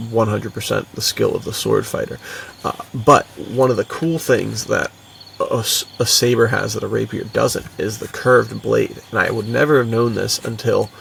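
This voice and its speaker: A man's voice: lowish voice, creaky, a bit bright, recorded from a distance, a little hiss or hum. A young man co-hosting a podcast in casual, enthusiastic chat, with a slangy, buddy-like manner. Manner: slangy, buddy-like, frequently chuckling. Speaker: podcast co-host.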